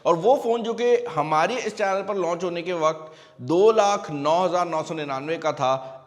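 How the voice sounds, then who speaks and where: A man with a Pakistani accent hosting a tech product review, explaining things conversationally and dropping English phrases into his speech. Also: fairly high pitch. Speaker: tech reviewer and channel host. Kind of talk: product review and unboxing, casual explaining to viewers. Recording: long reverberant room echo.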